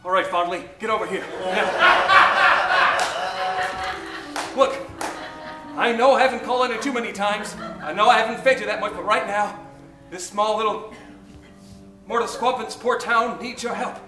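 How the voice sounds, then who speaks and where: A man's voice: sits high, brisk, close to an everyday voice. A man playing an eager, melodramatic taxi driver in a comic old-time radio play. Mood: pleading. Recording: roomy stage recording with music behind.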